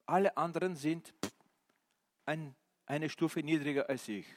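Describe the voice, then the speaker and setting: A male preacher, a non-native German speaker, giving an animated sermon with many questions to his listeners and hesitant fillers. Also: a little high, unhurried, slight background noise. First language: not German. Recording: reverberant hall.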